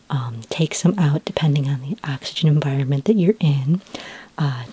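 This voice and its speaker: A woman playing a calm, helpful sci-fi skin care consultant in a soft-spoken ASMR roleplay, gentle and reassuring, often close to a whisper. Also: deep voice, husky. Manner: gentle, reassuring, hesitant and informal explaining.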